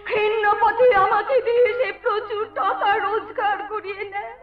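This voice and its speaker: A woman's voice: very high-pitched, fairly even intonation, normal speed. A woman playing an anguished, wronged young wife in folk theatre, melodramatic and declamatory. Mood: tearful, anguished. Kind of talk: Bengali jatra folk-theatre acting. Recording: dull stage-play recording with music.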